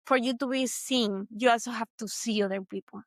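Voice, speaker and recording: A woman's voice: on the high side, a little fast, no room sound, studio quality. A woman from Venezuela with a Venezuelan Spanish accent, hosting a solo motivational talk in an earnest, reflective way that repeats points for emphasis. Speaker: podcast host.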